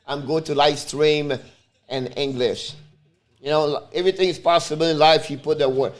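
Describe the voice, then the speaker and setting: A man from Montreal whose first language is French, speaking English with a Quebec French accent as he hosts a casual live stream, calling the game and chatting with viewers, with loud sudden outbursts. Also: a little high, steady tone, normal speed. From Montreal, Canada. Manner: talks straight to viewers. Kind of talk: casual live play-by-play and chat.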